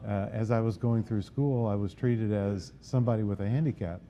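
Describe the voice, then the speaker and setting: A man being interviewed about his organization, speaking plainly and hesitantly with many pauses. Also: lowish voice, fairly even intonation, warm tone, muffled recording. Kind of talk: casual interview chat.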